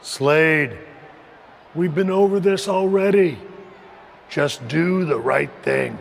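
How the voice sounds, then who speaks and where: A man playing a smug, domineering wrestling faction boss in a video game cutscene, speaking in a measured, condescending way. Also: a little high, creaky, slight background noise. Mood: firm, pressing.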